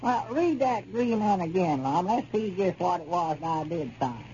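An actor playing a naive, plaintive old country storekeeper with a rural Arkansas Southern accent in a 1930s radio comedy. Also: male voice, high voice, lively intonation, moderate pace, dark tone. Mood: sheepish. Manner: folksy, plaintive, simple-minded. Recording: dull, noisy 1930s radio recording.

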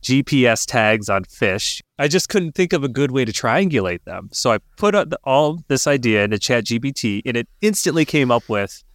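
A man's voice: medium pitch, big pitch swings, normal speed, hardly any pauses, a bit bright, no echo. Casual man on a dry, close podcast. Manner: informal, conversational storytelling. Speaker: podcast co-host. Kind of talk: casual podcast chat, pitching an idea.

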